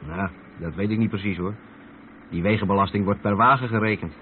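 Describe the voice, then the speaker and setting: An actor with a Netherlands Dutch accent playing an experienced long-haul trucker who patiently explains things in a radio play. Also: male voice, fairly low pitch, brisk, lightly acted. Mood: patient, explaining. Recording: muffled old radio play, vehicle noise.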